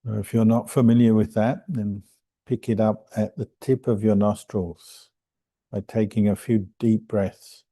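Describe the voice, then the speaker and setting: A man guiding a meditation as a monk and teacher, speaking calmly and gently with unhurried pauses between phrases. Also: fairly low pitch, no room sound. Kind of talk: guided meditation instruction.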